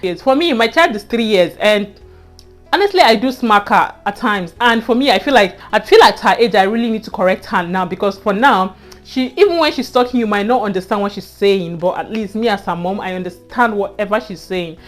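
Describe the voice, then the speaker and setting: A woman's voice: mid-range voice, lively intonation, fairly quick, soft background music. Earnest Nigerian woman telling a story. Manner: earnest, repeats herself, frequent "you know" asides. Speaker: vlogger. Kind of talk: casual storytelling to camera.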